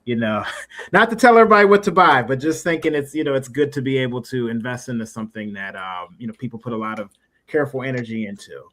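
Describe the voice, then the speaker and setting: A man with a mid-range voice hosts a livestream in a casual, chatty style. He rambles along encouragingly, often pausing to search for the right word. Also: mid-range voice, fairly bright tone. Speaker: livestream show host. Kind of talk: casual livestream interview chat.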